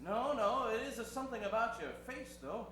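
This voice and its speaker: A man's voice: extremely high pitch, lively intonation, brisk, fairly bright tone. A man playing an admiring, folksy friend in an old-time radio ad skit, hammy and exaggerated. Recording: very echoey room, noisy hum.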